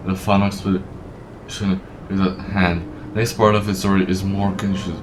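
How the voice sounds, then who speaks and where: A male game streamer reading dialogue aloud and commenting, haltingly repeating phrases as he goes. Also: deep voice, level tone, background noise. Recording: faint game music behind. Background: faint game music.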